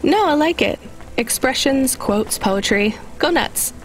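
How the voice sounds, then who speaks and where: A woman's voice: sits high, lively intonation, measured pace, low-quality recording. A woman playing a wry, conscientious young delivery driver in naturalistic, conversational video game dialogue. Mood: amused.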